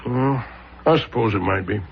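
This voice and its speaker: Gravelly, defensive male salesman. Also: fairly low pitch, moderate pace, slight background noise. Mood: defensive, evasive. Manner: hesitant, protesting, emphatic. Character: troubled, defensive male traveling salesman protesting his innocence. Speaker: actor in a radio drama. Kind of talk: 1970s radio drama acting. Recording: dull, lo-fi radio drama recording.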